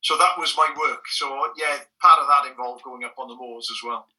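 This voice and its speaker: A man's voice: medium pitch, brisk, recorded from a distance, low-quality recording. A middle-aged Welsh man, a native Welsh speaker, telling frank anecdotes with lots of restarts as a guest in a conversational interview. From Wales. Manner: frank, animated storyteller with frequent restarts. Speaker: guest, former bird protection officer.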